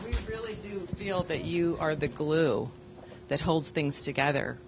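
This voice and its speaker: A woman with a low voice addresses a room of case managers at a conference and encourages them. A steady rumble sits under her voice. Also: unhurried. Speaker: nurse and public health trainer, narrator. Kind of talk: conference presentation.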